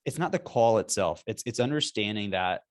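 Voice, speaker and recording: A man, a company founder, talking in a casual business interview over a video call. He is energetic and emphatic and keeps checking in with "right?". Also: fairly low pitch, fairly quick. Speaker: software company founder, guest.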